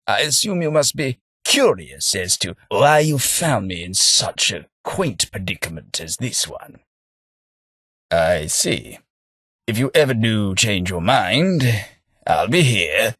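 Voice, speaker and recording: A hapless, polite English gentleman in a game, speaking in an unhurried, low, soft voice with a slight huskiness. His manner is mild, self-deprecating and drily humorous, captured in a dry, close studio recording. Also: husky, no room sound, full character voice. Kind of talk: game character voice lines.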